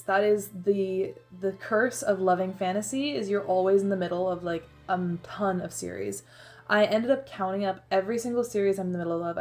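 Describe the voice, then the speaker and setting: A woman's voice: mid-range voice, darkish tone. Chatty young woman narrating a vlog. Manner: chatty, self-deprecating, frequent "like" fillers. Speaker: book vlogger. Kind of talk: casual voice-over narration.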